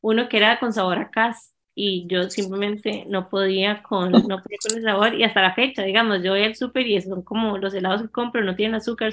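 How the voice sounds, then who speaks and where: A Costa Rican woman hosts a casual podcast with a middle-pitched voice. She talks in a gushing, colloquial rush about things she loves. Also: a bit bright. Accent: Costa Rican Spanish. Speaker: podcast host.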